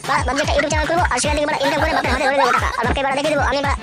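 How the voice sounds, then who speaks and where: A man voicing a cocky, lazy, foul-mouthed young son in a crude comic cartoon, with a pitched-up voice. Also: fairly even intonation, rapid-fire. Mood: excited boasting. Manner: brash, hot-headed, swearing constantly. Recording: loud music under the voice.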